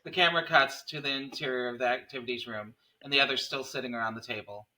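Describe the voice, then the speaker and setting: A man's voice: mid-range voice, steady tone, quick pace, bright, forceful tone, poor audio. A man reading scene headings and stage directions as narrator at a horror script table read.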